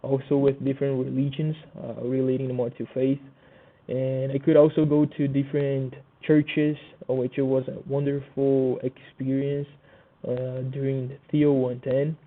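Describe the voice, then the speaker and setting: A man's voice: medium pitch, little pitch movement, unhurried. A young man from Brazil speaking English with a Brazilian Portuguese accent, giving a class presentation with many fillers and restarts. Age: young adult. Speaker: student presenter. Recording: tinny phone-like audio.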